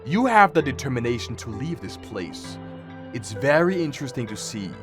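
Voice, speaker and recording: A man's voice: mid-range voice, sing-song, moderate pace. A man hosting a reaction video, joking and thinking aloud as he comments casually and reads captions out. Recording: music playing behind the voice. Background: background music.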